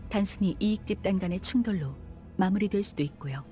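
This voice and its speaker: Actress as composed female strategist, soft dark tone, very quick polite delivery, game story role. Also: mid-range voice, faint music behind, lightly acted. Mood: calm, measured. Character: composed, analytical woman weighing strategy in polite speech. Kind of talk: Korean game voice acting.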